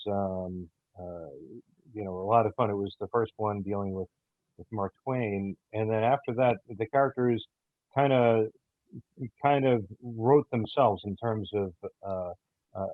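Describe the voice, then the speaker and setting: A man speaking haltingly in an interview as an audio drama writer, with frequent hesitant pauses. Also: on the low side, fairly even intonation. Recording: tinny, band-limited call audio, roomy echo.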